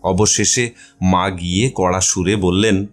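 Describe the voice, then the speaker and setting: A man's voice: sits low, steady tone, measured pace, soft background music. A man reading a literary story aloud as its narrator, in a scripted narration style.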